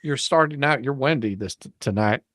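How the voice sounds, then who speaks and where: A mid-pitched host talks casually to a group of readers online. The intonation is very animated and the sound is dry as they give directions and banter. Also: a little fast, no room sound.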